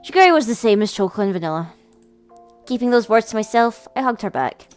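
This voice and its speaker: High-voiced woman narrating a visual novel. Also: lively intonation, fast, clear, resonant tone. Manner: dramatic storytelling with casual asides. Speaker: let's play host. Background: game music.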